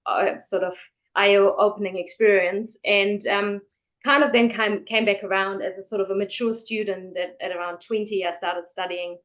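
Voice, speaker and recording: Woman, interview guest, chatty, lots of fillers and restarts, mid-pitched voice. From Germany. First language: German. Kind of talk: casual interview.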